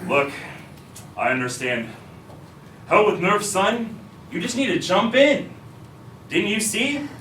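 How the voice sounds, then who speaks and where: A man's voice: sits high, sing-song, takes it slowly, pauses often, bright, forceful tone, far from the mic, a little hiss or hum, heavily acted. A man playing a brash, confident stage veteran with a theatrical manner, in a musical theatre reading. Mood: cocky, encouraging. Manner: theatrical, cocky. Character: brash, confident older stage actor, self-assured mentor. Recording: roomy live recording from afar, musical accompaniment.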